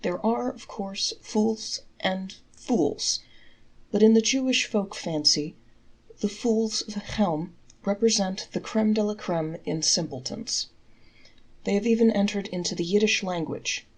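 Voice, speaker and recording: A woman reading folktales aloud in a measured, articulate storybook narration. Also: mid-range voice, slightly rough, low-quality recording.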